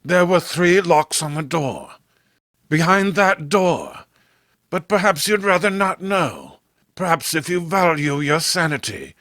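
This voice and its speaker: Male horror host, slightly high voice, theatrical and deliberate scripted read, dry close recording. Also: fairly bright tone, dry recording. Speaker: channel host and narrator.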